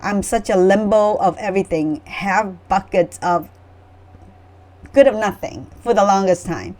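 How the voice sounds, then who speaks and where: A woman's voice: mid-range voice, a little slow, darkish tone. Middle aged woman, earnest n reflective, just talking about her own life. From Vietnam. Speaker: memoir author, panel guest. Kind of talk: personal storytelling in conversation.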